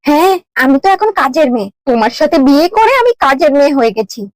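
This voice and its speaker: A woman's voice: high-pitched, very animated, fast talker, no room sound, rough recording. A woman playing a vain, quarrelsome young village wife in a cartoon, with whiny, haughty, dramatic complaining. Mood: indignant whining. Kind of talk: exaggerated cartoon dialogue.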